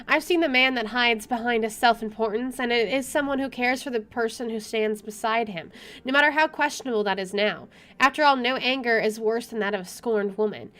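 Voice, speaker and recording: High-voiced woman playing through a game. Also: fairly bright tone. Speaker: game streamer. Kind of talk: reading visual novel narration aloud with casual commentary. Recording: game music behind.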